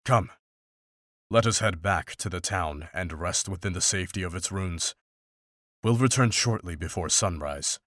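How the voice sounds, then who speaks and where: A man playing a stern, pragmatic older monster hunter in a fantasy visual novel, speaking in a formal, measured, unsentimental way. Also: sits low, fairly bright tone, no echo, studio quality. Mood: measured, instructive.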